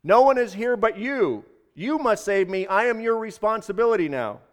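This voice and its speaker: A man's voice: high voice, a little slow. A man voicing a demanding man who dangles from a rope and calls out insistently in a dramatized story. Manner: loud, insistent calling out.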